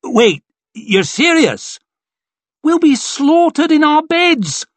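A man's voice: very high-pitched, sing-song, takes it slowly, no room sound, voice pushed up, heavily acted. A man voicing flustered, panicky army onlookers in an audiobook, wailing and exclaiming in alarm. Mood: panicked.

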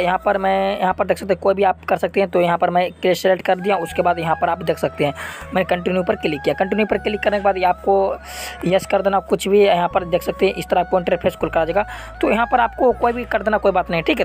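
A man hosting an unscripted app tutorial, repeating himself and often adding check-in tags. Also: sits high. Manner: repetitive, with frequent check-in tags. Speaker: app tutorial video host. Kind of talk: unscripted screen-recording tutorial.

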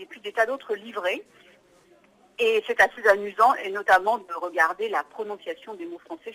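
A woman author answering interview questions thoughtfully, pausing often with fillers. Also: medium pitch, sharp tone, poor audio. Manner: thoughtful, halting with many fillers. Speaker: author and historian.

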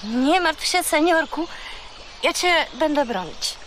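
A woman playing a sensible, protective little girl, calm and gently teasing, in a children's audio drama. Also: high-pitched, slow, very echoey, long reverb tail, noisy background. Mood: reassuring.

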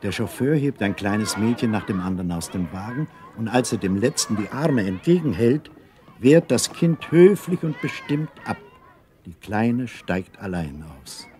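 A man narrating a children's story from a script, expressive and unhurried, with a husky voice. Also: on the low side, a little hiss or hum.

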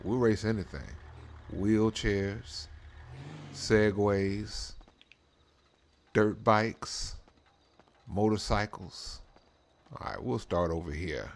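A man's voice: lowish voice, very slow, long pauses. A man hosting casual game role-play commentary in a slangy, rambling way, leaning on conversational tag phrases. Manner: slangy, rambling, frequent "you know what I'm saying". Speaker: host of a drag racing role-play channel. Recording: clear background noise.

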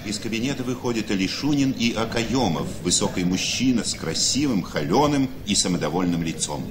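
A man's voice: on the low side, lively intonation, a little fast, noisy background, natural voice. A man narrating the stage directions of a radio play in a scripted, storytelling style.

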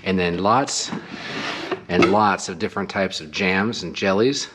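A man in his forties talking casually to a handheld camera on a farm, relaxed and chatty. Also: deep voice, a little slow, background noise. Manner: relaxed, chatty, self-correcting. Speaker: travel vlogger. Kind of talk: casual vlog talk to camera. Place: outdoors on a farm and inside a trailer. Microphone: handheld action camera at arm's length.

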